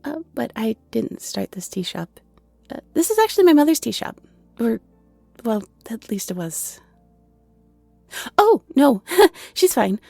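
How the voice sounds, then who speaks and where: Actress as bashful young fairy tea seller, giggly and flustered. Soft, gently creaky voice, close and dry, faint music behind. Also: female voice, on the high side, big pitch swings, measured pace, dry recording, exaggerated voice. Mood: bashful, chatty. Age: 20s. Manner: nervous stammering, giggly, apologetic. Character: shy, flustered young half-fairy woman running a tea shop. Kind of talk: scripted audio roleplay, intimate and conversational.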